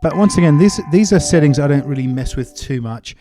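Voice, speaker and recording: A man giving a casual, step-by-step software tutorial, explaining things as he goes. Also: a little high, animated, brisk. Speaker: music production tutorial presenter.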